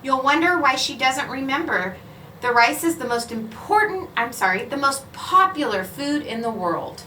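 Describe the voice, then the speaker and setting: A woman reading a children's picture book aloud in a measured, expressive storytelling way, with clear phrasing. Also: high-pitched. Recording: roomy, echoing, distant mic, faint noise.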